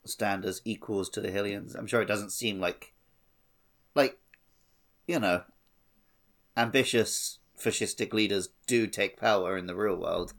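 A man's voice: lowish voice, a little slow, pauses often, fairly bright tone. A man co-hosting a podcast, chatting casually about a video game. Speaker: podcast co-host.

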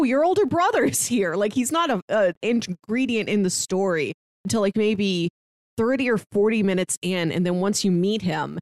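A woman's voice: mid-range voice, no echo, clean studio sound. A woman in her early thirties co-hosting a casual film discussion, retelling scenes conversationally with bits of quoted dialogue. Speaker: podcast co-host.

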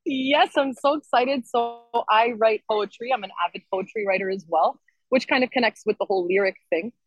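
A woman's voice: a little high, moderate pace, husky, no echo, rough recording. A woman singer-songwriter chatting casually and enthusiastically as an interview guest. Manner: enthusiastic, expressive.

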